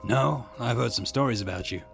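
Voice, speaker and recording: A man voicing a gruff middle-aged police detective who speaks in a low, steady way. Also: brisk, music in the background.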